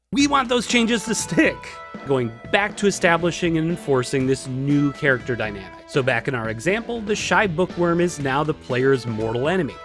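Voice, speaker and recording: A man's voice: on the high side, fairly bright tone. A man narrating a scripted video lesson as presenter, emphatic and informal with playful exaggeration. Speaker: video essay presenter teaching game writing. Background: background music.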